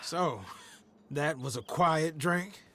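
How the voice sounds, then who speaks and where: An actor playing an easygoing, earnest young gang member in a video game, casual and good-natured. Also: male voice, fairly high pitch, takes it slowly, very noisy. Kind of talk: video game cutscene acting.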